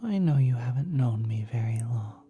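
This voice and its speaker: A woman voicing a kind, nurturing celestial being in a soft, breathy, often whispered ASMR roleplay, gently reassuring the listener. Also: a bass voice, expressive, normal speed, dull audio, slight background noise. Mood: soothing, reassuring. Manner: gentle, reassuring, hesitant, coaxing. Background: spacey ambient music.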